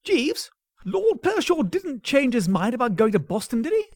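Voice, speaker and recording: A man voicing an amiable, airy upper-class young gentleman in a comic story. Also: extremely high pitch, big pitch swings, moderate pace, no room sound, studio quality, pitched above the performer's own voice, heavily acted. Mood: breezy.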